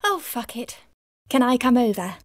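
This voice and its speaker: An actress playing a proud, intense, wounded lover and poet in an audio drama, with dramatic, expressive delivery. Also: female voice, a little high, moderate pace, no room sound, clean studio sound. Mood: exasperated. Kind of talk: theatrical audio drama acting.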